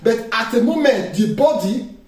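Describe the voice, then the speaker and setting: A man's voice: extremely high pitch, animated, moderate pace, a little mellow. A man, a pastor preaching a sermon with emphatic call-and-response questions to his congregation. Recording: echoey room, distant mic, faint noise.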